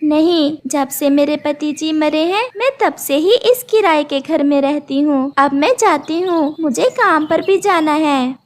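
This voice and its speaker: A woman voicing a poor, gentle, grateful widowed mother bird, speaking politely and earnestly in a cartoon. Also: high-pitched, measured pace, hardly any pauses. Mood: polite, plaintive.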